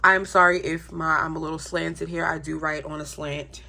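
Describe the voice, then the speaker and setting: A woman's voice: low voice, normal speed, gravelly. A young adult woman with a congested voice, talking casually to her viewers in a budgeting vlog with frequent ums. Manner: chatty, relaxed, frequent ums. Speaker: budgeting YouTuber.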